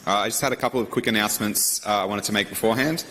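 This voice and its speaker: A man, a university lecturer making class announcements and introducing a guest, halting with many ums and uhs. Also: lowish voice, a bit bright, slight background noise. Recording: echoey lecture hall, distant mic.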